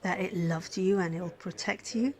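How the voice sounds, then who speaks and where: A woman's voice: fairly low pitch, normal speed, darkish tone, close to an everyday voice. A woman playing a caring, smitten emergency physician in an intimate ASMR roleplay, speaking tenderly and soothingly. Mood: shy, affectionate. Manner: tender, soothing, flustered, dropping into breathy whispers. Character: caring, smitten female emergency physician tending a crash patient. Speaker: roleplay voice performer. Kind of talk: ASMR roleplay acting, intimate one-sided dialogue.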